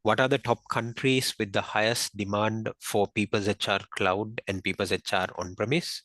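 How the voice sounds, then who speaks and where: A man speaking as a finance executive on an investor call, explaining in a halting, measured, unscripted way. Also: on the low side, fairly even intonation, fairly bright tone, no room sound. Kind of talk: unscripted business earnings call.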